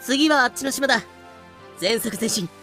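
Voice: mid-range voice, normal speed, a bit bright, faint music behind, natural voice. A performer in an anime-style audio drama playing a brash, stubborn, boastful pirate-hunting captain with a bossy, blustering delivery. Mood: smug.

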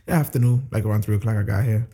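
Gravelly, husky New man. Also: lowish voice, rapid-fire, warm tone. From New York. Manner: easygoing, with many "uh" fillers. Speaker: podcast guest. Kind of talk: casual interview chat.

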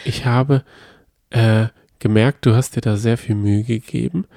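A man's voice: medium pitch, steady tone, a little slow, creaky, warm tone, dry recording, studio quality. A man chatting casually and enthusiastically as a podcast co-host.

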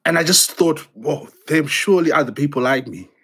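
A man's voice: a little high, animated, fairly bright tone, slightly rough. Hesitant, reflective man from Zimbabwe. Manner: hesitant, halting, many restarts and fillers. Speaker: playwright guest. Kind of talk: reflective interview conversation.

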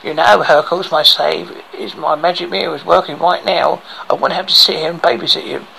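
A man's voice: fairly high pitch, steady tone, brisk, creaky, bright, forceful tone, a little hiss or hum, full character voice, poor audio. A man voicing a haughty, menacing storybook Queen who speaks sharply and imperiously. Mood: demanding, scornful.

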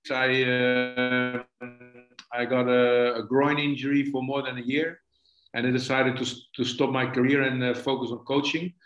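A man in his sixties with a Dutch accent, a football coach answering interview questions haltingly, often stumbling and repeating words. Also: mid-range voice, a bit bright. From Netherlands. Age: 60s. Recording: echoey room, dull lo-fi connection.

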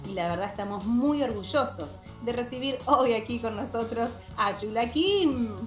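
A woman's voice: on the high side, expressive, moderate pace, echoey room, loud music behind the voice. A woman from Argentina with a Rioplatense accent hosts a podcast in a casual, bubbly, exclamatory way. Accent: Rioplatense Spanish. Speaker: podcast host. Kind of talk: casual chat.

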